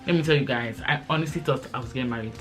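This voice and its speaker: A woman's voice: a bass voice, racing, faint music behind. A woman in her twenties, raised in Belgium, chatting casually and rambling to camera with lots of fillers. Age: 20s. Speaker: YouTuber. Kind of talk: casual chit-chat vlog.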